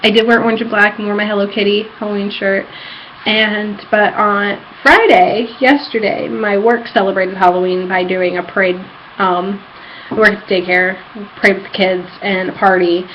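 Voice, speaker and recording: A woman's voice: medium pitch, steady tone, normal speed. A woman chatting casually in a rambling personal vlog recorded at home, with a giddy fangirl enthusiasm. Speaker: vlogger. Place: apartment room. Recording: dull lo-fi home recording, background noise.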